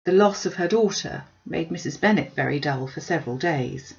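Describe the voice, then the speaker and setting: Woman audiobook reader, fairly low pitch, very varied intonation, classic novel narration, slightly muffled recording. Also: animated, normal speed.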